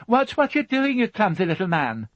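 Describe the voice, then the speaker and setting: A man's voice: medium pitch, very animated, normal speed, no room sound, pitched above the performer's own voice. A man voicing a fussy, prim middle-aged housewife who chatters and turns indignant, in a storybook reading. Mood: scolding. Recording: dull, dry studio audiobook.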